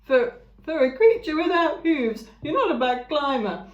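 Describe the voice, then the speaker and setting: A high, strained, tearful male ram blubbers his apologies, as a woman puts him on for a storybook reading. He is friendly and clumsy, and his voice comes out loud and squeaky. The recording has a roomy echo. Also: big pitch swings, measured pace, muffled recording.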